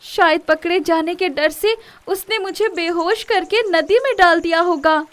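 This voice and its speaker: Woman voicing a high-pitched, earnest young fairy. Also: a little slow. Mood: polite, earnest. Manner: formal, expressive, dramatic delivery. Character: young female fairy, polite, earnest, anxious damsel.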